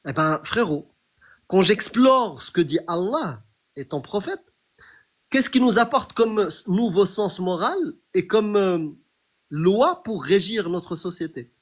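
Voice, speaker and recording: A man's voice: high voice, lively intonation, slow pace. A young man hosting a debate, pressing his point insistently and addressing the other as a brother. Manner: challenging, insistent, calls the other "frérot". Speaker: host of a debate stream. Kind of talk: heated debate on religion.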